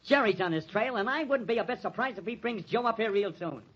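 A man's voice: high voice, lively intonation, quick pace, noisy background. A man playing a kindly, worried older man, folksy and hesitant, in an old-time radio drama. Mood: reassuring. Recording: muffled old radio recording.

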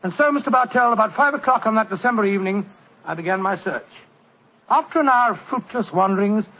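An actor playing an earnest, proper Victorian gentleman, narrating and speaking in an old radio drama. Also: high voice. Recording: dull old radio recording, faint hiss.

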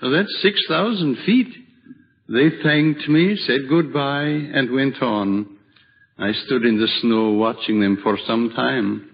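An actor playing a kindly, serene old widowed hermit in a slow, solemn radio drama style. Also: male voice, medium pitch. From Switzerland (the figure). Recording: dull vintage radio recording.

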